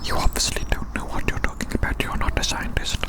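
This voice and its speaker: An ASMR host whispering a playful roleplay monologue as a quirky, inquisitive scientist, softly repeating phrases. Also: quick pace. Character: quirky, inquisitive scientist examining a little creature.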